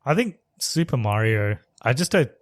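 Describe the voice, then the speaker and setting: A man co-hosting a podcast in casual chat, relaxed and often laughing as he steers the talk. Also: mid-range voice, normal speed, dry recording, clean studio sound. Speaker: podcast co-host.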